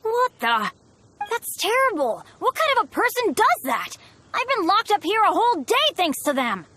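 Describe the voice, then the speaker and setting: A woman voicing an earnest, excitable teenage boy adventurer in a video game, boastful yet kind, with a boyishly dramatic delivery. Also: very high voice, normal speed, dry recording, full character voice. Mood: indignant, apologetic.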